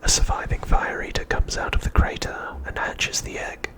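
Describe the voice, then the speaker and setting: A man speaks entirely in a breathy whisper, with no voiced tone at all. He narrates like an ASMR host recapping a story, keeping everything soft, hushed and unhurried.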